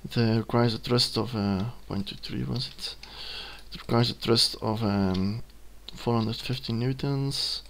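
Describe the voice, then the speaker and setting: A young man in his twenties, a native Dutch speaker with a Dutch accent, hesitantly talking through a screen-recorded software tutorial, with many ums and self-corrections. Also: mid-range voice, fairly even intonation, unhurried, gravelly. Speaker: student giving a tutorial. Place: at a computer, indoors.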